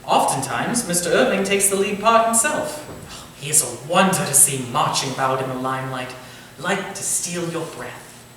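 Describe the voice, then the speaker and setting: An actor playing a genial, courtly Victorian gentleman writer with a formal, eloquent, theatrical way of talking, in a staged reading. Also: on the high side, expressive, normal speed. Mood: genial, proud. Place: indoor staged reading, distant microphone. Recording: very echoey room from far off, faint noise.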